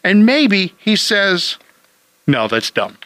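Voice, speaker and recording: A man's voice: high voice, sing-song, slow pace, fairly bright tone, no room sound. A man hosting a home-improvement radio call-in show, chatting casually and cracking jokes. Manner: joking, wisecracking.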